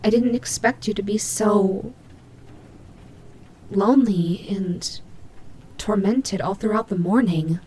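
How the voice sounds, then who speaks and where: A woman's voice: medium pitch, slow pace, pauses often, warm tone, slight background noise, close to an everyday voice, poor audio. A woman playing a prickly but caring female nightmare demon rabbit in an audio roleplay, speaking to the listener with hesitant, teasing, flustered delivery. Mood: soft, pitying.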